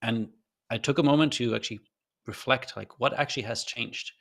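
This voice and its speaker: A man's voice: medium pitch, a little fast, creaky, fairly bright tone. A man with a faint German accent, a podcast guest telling his story in a thoughtful, earnest way with loose fillers and restarts. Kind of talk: reflective storytelling in an interview.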